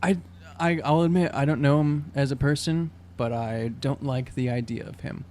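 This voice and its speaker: Halting man player, faint hum behind. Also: mid-range voice, fairly even intonation, darkish tone. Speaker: tabletop game player. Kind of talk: casual improvised role-play chat.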